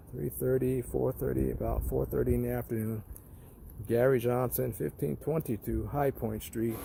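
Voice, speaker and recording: A man with a mellow, fry-laden voice narrates a casual walkthrough as a tenant. His delivery is plain and explanatory, restating points for emphasis. He is recorded on the move in a parking lot, with faint vehicle noise behind him. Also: medium pitch. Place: walking around an apartment building parking lot.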